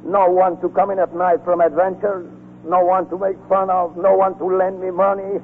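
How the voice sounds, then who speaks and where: An actor playing an effusive, teasing Italian army officer in a wordy, affectionate manner, in 1940s radio drama style. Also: male voice, high-pitched, flat delivery, moderate pace. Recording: muffled, noisy 1940s radio broadcast recording.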